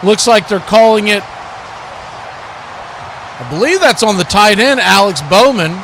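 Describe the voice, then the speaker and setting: A man's voice: sits high, lively intonation, measured pace, a bit bright, a little hiss or hum. A man giving live American football play-by-play in clipped running description that lifts on the action. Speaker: play-by-play sports commentator, watch party host.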